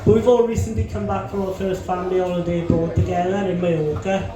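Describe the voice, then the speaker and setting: A young man with a fairly high voice tells crude stories with deadpan timing. His voice booms around a very echoey hall, and music plays clearly behind him. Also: quick pace. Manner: crude, deadpan punchlines with profanity. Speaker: stand-up comedian. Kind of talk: stand-up comedy set. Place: comedy club stage.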